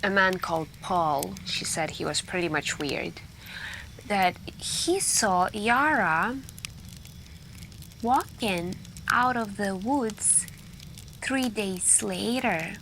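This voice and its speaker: A soft-spoken woman telling scary stories in an ASMR style, hushed and intimate with pauses and drifts into whispering. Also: medium pitch. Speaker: ASMR roleplay performer playing a friend telling campfire stories. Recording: steady background ambience noise. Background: crackling campfire and night ambience.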